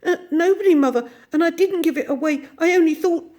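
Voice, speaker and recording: A woman doing a scared meek storybook daughter, high and timid. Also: a little fast, a little mellow. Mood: frightened. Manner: meek, frightened. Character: huge timid grown daughter, frightened.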